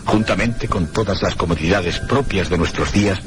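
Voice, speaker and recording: An actor playing a reflective gentleman who narrates a mystery in a measured, literary radio drama style. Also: low voice, over music, lightly acted. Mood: reflective, grave. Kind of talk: scripted first-person radio drama narration.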